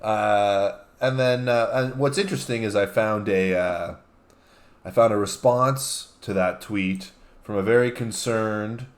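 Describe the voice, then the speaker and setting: Guy with lowish voice, show guest reading out posts, kinda halting, chatty. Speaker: guest on a comedy talk show. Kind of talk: casual banter, reading out tweets.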